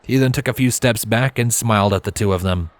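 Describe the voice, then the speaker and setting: A man's voice: fairly low pitch, brisk, no room sound. A man reading a scripted story aloud as its narrator. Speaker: fan fiction narrator. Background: background music.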